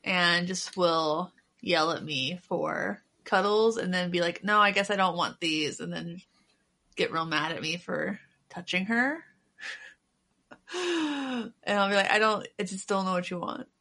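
A woman chatting casually and informally, with animated, mocking asides. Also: fairly low pitch, unhurried. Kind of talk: casual chat.

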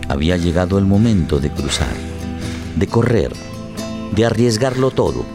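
A man's voice: sits low, animated, normal speed. A man narrating a radio play in a dramatic, scripted style. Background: music.

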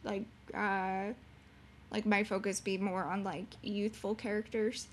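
A woman's voice: level tone, a little slow, slight background noise. A young woman with a youthful voice and a bubbly, giggly manner, chatting casually as a guest in an interview. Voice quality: high, youthful voice. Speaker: podcast guest, streamer and beginning voice actor.

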